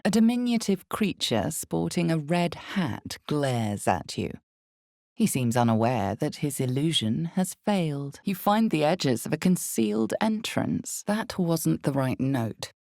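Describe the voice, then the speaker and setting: A woman playing the knowing, ominous narrator of a dark fantasy game, brooding and deliberate, with weighted pauses and hushed drops. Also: low-pitched, vocal fry, dry recording, clean studio sound, full character voice. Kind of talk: dramatic scripted second-person fantasy narration.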